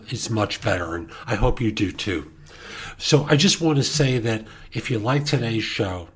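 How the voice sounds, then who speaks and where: Male podcast host and career coach, lowish pitch, very raspy husky tone, explanatory delivery with rhetorical questions. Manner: explanatory, asks rhetorical questions and answers them. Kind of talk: career advice podcast monologue.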